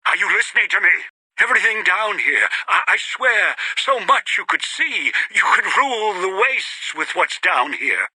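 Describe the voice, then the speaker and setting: An actor playing a bitter, obsessive, paranoid old man in a video game, with a strained raspy voice and theatrical delivery. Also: high-pitched, sing-song, moderate pace, sharp tone, no room sound, heavily acted, low-quality recording. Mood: desperate bargaining. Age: older. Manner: theatrical, muttering to himself, swinging into desperate outbursts. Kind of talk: dramatic video game voice acting.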